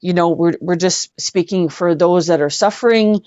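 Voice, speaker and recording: A middle-aged woman with a western Canadian accent, telling personal stories as an interview guest in an earnest, halting way, repeating words for emphasis. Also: on the low side, darkish tone, no room sound, highs cut off. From Edmonton, Canada. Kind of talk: personal storytelling in a live online interview.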